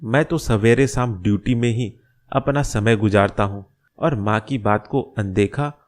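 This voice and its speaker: A man voicing a caring middle-aged father in a moral-story cartoon, gentle and explanatory as he reassures. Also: lowish voice, unhurried, close to an everyday voice. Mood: reassuring.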